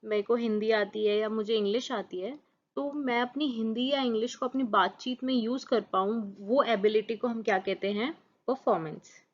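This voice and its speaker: Woman, first language Hindi, lesson host who repeats each point, fairly high voice, lo-fi sound. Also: fairly even intonation, moderate pace. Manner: explains with rhetorical questions and repeats points. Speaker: channel host and teacher. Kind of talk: Hindi lecture on exam topics, reading English definitions slowly. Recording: dull lo-fi recording.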